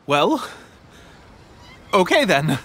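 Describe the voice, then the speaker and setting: An actor playing a flustered, deferential clerk in a video game, with a nervous, apologetic stammer. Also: male voice, high voice, big pitch swings, extremely slow, bright, forceful tone, slight background noise, exaggerated voice. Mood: hesitant, apologetic. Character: flustered, deferential male publishing house clerk, anxious. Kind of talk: scripted video game voice acting. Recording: background music behind the voice.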